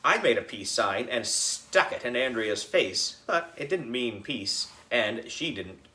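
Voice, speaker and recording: A man narrating a children's book in an animated storytelling style. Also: medium pitch, a little slow, echoey room. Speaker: audiobook reader.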